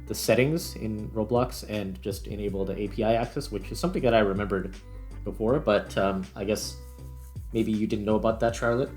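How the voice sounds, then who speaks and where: A man's voice: lowish voice, fairly quick, darkish tone, faint music behind. A man hosting a tutorial vlog, talking casually and informally as he works through steps. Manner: informal, conversational. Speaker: video host.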